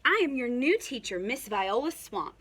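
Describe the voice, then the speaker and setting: Wicked substitute teacher, performed by a woman: stern, snapping, forceful, swooping high for threats. Storybook villain. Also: very animated, moderate pace, slightly rough, exaggerated voice. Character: strict, menacing witch-like female substitute teacher.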